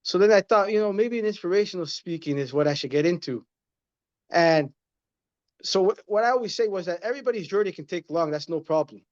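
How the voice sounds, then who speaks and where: A man's voice: high-pitched, lively intonation, fairly quick, muffled recording. A man in his thirties, a guest in a casual interview, talking earnestly and repeating his points like a motivational speaker. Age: 30s.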